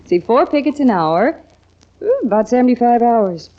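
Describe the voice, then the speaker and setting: A high-voiced, lively young woman. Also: normal speed. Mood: amused, helpful. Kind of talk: old-time radio comedy-drama acting. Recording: dull old radio recording.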